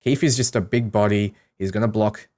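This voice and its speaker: A man's voice: fairly low pitch, steady tone, fairly quick, darkish tone. A man giving casual, explanatory commentary on a game, talking through his strategy as a streamer.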